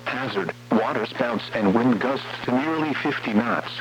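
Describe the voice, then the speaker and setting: A man's synthetic-sounding voice reads scripted weather warnings as a radio announcer, in a formal, measured way. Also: medium pitch. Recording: muffled weather radio broadcast, noisy hum.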